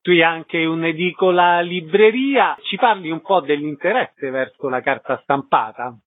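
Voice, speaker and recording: A man's voice: fairly high pitch, measured pace. A man presenting and interviewing as the host of a radio show. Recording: dull, muffled radio sound.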